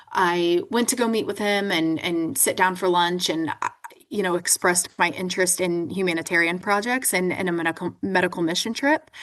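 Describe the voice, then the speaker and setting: A woman's voice: mid-range voice, a bit bright. Chatty young woman guest. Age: 20s. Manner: chatty, frequent "right?" and "like". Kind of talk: interview conversation.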